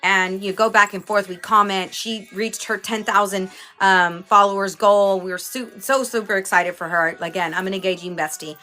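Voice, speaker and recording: A chatty woman hosts a casual livestream, her voice bright and forward and a bit stuffy from a cold. She greets viewers one by one and keeps plugging the show. Faint music sits behind her. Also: medium pitch, fairly even intonation, moderate pace, few pauses. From Houston, Texas. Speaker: livestream host.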